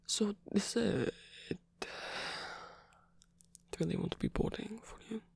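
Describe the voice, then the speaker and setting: A performer voicing a flirtatious but flustered, tender male rock frontman in an intimate ASMR romance roleplay, speaking in a hesitant, halting, husky whisper. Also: mid-range voice, a little mellow, no echo, heavily acted. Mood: playful, shy.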